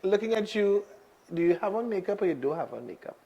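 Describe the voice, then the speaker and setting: A man's voice: sits high, lively intonation, fast talker, dark tone, muffled recording. Chatty male talk show host. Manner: chatty, easygoing, with fillers. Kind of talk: casual interview chat.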